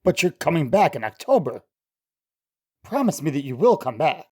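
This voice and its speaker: A man's voice: fairly high pitch, big pitch swings, moderate pace, husky. A man playing an earnest young male scientist in love, in an old-time radio drama style. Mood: tender.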